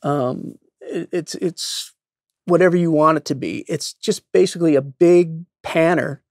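A man in his fifties explaining audio mixing casually, pausing thoughtfully as he searches for words. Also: on the high side, no room sound, clean studio sound. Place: indoor living-room studio.

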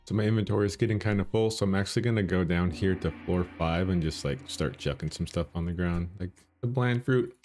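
A low, bassy man's voice talks through a game run in a relaxed, chatty way, thinking out loud and poking fun at himself. Faint music plays quietly underneath. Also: brisk, few pauses. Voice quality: bassy morning voice. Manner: chatty, self-deprecating, thinking aloud. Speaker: gaming channel host. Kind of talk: casual gameplay commentary.

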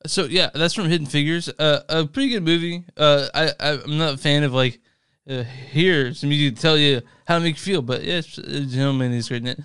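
A man's voice: on the high side, fairly quick, a bit bright, clean tone. A man co-hosting a movie podcast, laid-back and joking as he plugs the show. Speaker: podcast co-host. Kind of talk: casual podcast banter.

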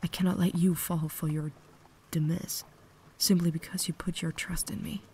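A woman voicing a cultured, caring, tormented vampire lady in a breathy whisper, with formal, measured diction for an ASMR roleplay. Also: on the low side, little pitch movement, a little mellow, slight background noise, close to an everyday voice. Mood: sorrowful, caring.

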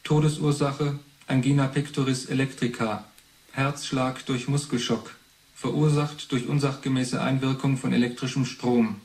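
An impersonal male official played by an actor reads out reports at a medium pitch. His delivery is formal, clipped and detached, as in an eighties radio play. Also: little pitch movement, normal speed, a little mellow, close to an everyday voice. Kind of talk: formal report read-out in 1980s radio drama.

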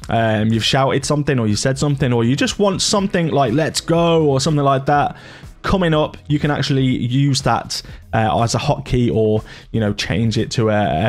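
Guy in his 30s doing a chatty software tutorial, normal mid pitch. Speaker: gaming YouTuber. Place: indoor home gaming setup.

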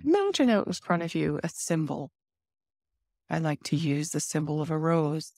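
A woman leading a guided meditation in a soothing, instructive manner with deliberate pauses. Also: low voice, sing-song, darkish tone, no echo.

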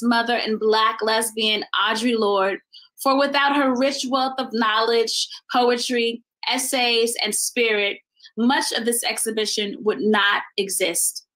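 Bright, high-voiced American woman. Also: fairly even intonation, a little slow. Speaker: artist giving thanks at an exhibition opening. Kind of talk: prepared thank-you speech. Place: indoor room at home, video recording.

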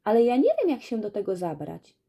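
A woman's voice: medium pitch, very animated, fairly quick, gravelly, warm tone. A woman voicing a sweet, innocent young girl in a children's fairy tale, with a naive manner. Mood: innocent pleading.

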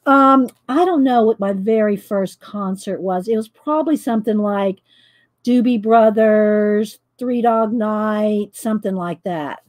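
A woman, chatting loudly while she paints and tells stories on her coloring livestream, laughing often and answering viewers. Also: medium pitch, a little slow. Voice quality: loud voice. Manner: chatty storyteller, laughs often, reads live chat. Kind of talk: casual chat while painting. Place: home craft table, indoors.

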